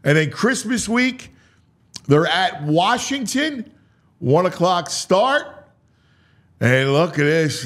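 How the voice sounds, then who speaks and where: A man hosting a sports talk show, mixing dramatic, drawn-out delivery with casual, offhand asides. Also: medium pitch.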